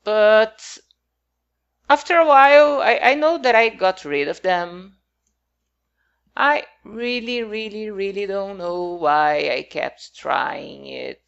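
A woman's voice: mid-range voice, slow pace, creaky, lo-fi sound. A woman whose first language is not English, talking casually over her game build in a hesitant, rambling way with many fillers.

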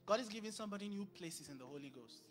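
Animated male pastor preaching on a church stage. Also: high-pitched, very fast, faint music behind. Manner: animated, acts out dialogue, bursts of volume. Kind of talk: sermon. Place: large church stage with purple lighting. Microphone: handheld mic.

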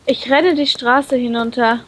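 A woman reading a visual novel aloud in an animated, playful way, breaking off into her own comments. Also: fairly high pitch, measured pace. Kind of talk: expressive let's play read-aloud.

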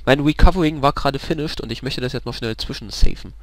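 A man's voice: medium pitch, fast talker, no echo. A man casually commenting a co-op game, chatty and swearing whenever he dies. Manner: chatty, swears, laughs at deaths. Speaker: let's play commentator. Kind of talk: casual gaming chat.

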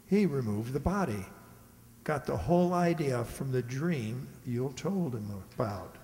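Police inspector, played by an older man: mid-pitched, measured, no-nonsense, radio mystery staged in a reverberant hall. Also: animated, dark tone. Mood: explanatory. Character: matter-of-fact, reassuring male police inspector. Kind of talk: old-time radio mystery acting. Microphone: stand microphone on stage. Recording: echoey hall, live stage performance.

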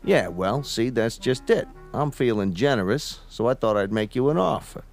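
An American man playing a falsely genial, menacing gangster boss in a radio drama, with a slangy, smooth-talking tough-guy delivery. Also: mid-range voice, lively intonation, moderate pace, faint music behind, lightly acted. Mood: coaxing.

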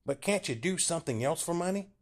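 A man voicing a short, timid old man who is angry and scolding, pushing his voice up high and strained. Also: lively intonation, fast.

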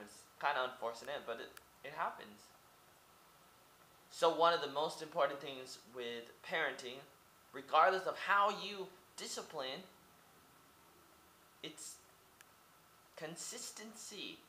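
A man's voice: on the high side, unhurried, long pauses, sharp tone, reverberant, a little hiss or hum, low-quality recording. A young man from Oregon rambling to his webcam in a small room, firing off rhetorical questions and repeating himself. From Oregon, United States. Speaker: vlogger. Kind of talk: casual monologue to camera. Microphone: webcam at close range.

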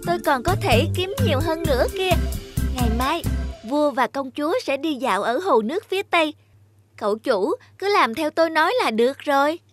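High-pitched clever cartoon cat. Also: female voice, expressive, brisk, loud music behind the voice. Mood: confident, scheming. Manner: persuasive, theatrical, polite. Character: clever confident talking cat in boots, male servant. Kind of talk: dubbed fairy-tale cartoon acting.

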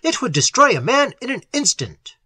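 Pulp horror scientist, man performing: commanding, urgent, emphatic, raspy edge, forceful delivery. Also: high-pitched, huge pitch swings, unhurried, no echo, voice pushed up, heavily acted. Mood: frantic, alarmed. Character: urgent male scientist at the wheel, commanding, explaining monster lore. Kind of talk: dramatized horror story reading.

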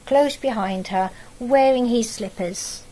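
A middle-aged woman reading a picture book aloud to young children, expressive and adding asides about the pictures. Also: on the high side, poor audio. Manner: expressive storytelling that pauses to comment on the pictures. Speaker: storybook reader for young children. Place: living room at home, indoors.

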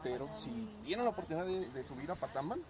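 A man talks eagerly about the nearby landscape in an outdoor park. His voice sits a little high. People chatter in the background. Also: animated, fast. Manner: informative, eager to recommend. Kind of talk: casual explanation. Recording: crowd chatter behind.

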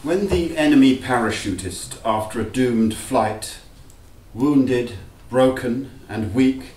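A man's voice: medium pitch, slow pace, darkish tone. An older man giving a theatrical scripted reading as an actor in a small room. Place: small room with live audience. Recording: roomy, distant, noisy event recording.

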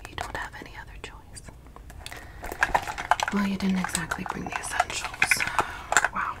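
A young woman in ASMR roleplay as a mysterious forest witch who tends a lost traveler, whispering softly close to the mic and repeating words soothingly in a small dim room. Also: fairly low pitch, close to an everyday voice, low-quality recording. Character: mysterious, teasing forest witch woman, caring yet ominous. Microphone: close studio mic.

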